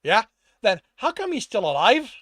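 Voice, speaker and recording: A man's voice: on the high side, animated, unhurried, bright, forceful tone, no room sound, clean studio sound, heavily acted. A man voicing a menacing, short-tempered rat gang boss in a fantasy story, growling out threats. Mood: accusing, threatening. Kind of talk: storybook character dialogue.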